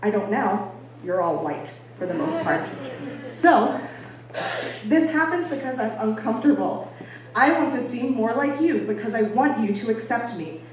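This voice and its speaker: A woman's voice: fairly high pitch, expressive, unhurried. Echoey American woman giving a talk. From Boston, United States. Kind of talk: informal public talk to an audience. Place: echoey indoor venue with an audience. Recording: echoey room, distant mic, faint noise.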